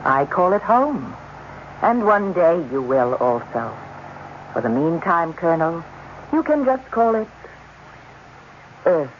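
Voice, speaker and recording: A composed alien doctor and explorer in a radio play. The delivery is measured, formal and authoritative. The recording is muffled, with faint background noise. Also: female voice, lowish voice, very animated. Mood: reassuring. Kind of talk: radio drama acting. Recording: muffled, faintly noisy old radio broadcast.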